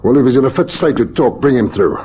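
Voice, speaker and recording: An actor with a South African accent playing a brooding, probing police lieutenant in a radio crime drama, ruminative yet pressing. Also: male voice, mid-range voice, expressive, fast talker, mellow, a little hiss or hum, close to an everyday voice. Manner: ruminative, hesitant, then pressing.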